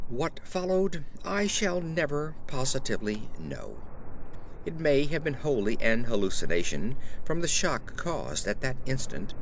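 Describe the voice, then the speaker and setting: A man reading a horror story as an audiobook narrator, with a measured, literary and theatrical delivery. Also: medium pitch, slight background noise.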